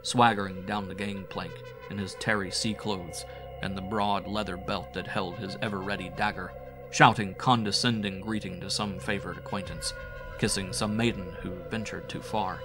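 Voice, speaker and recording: Deep-voiced dramatic male horror narrator. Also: fairly even intonation, moderate pace, poor audio. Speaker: audiobook narrator. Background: music.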